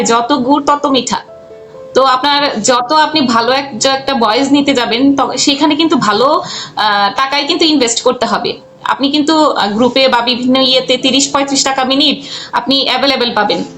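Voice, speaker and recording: A woman gives conversational interview answers. She speaks haltingly, often repeating or restarting a phrase. Light music plays under her voice. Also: high-pitched, a bit bright. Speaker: guest, freelance cartoon voice artist and animator.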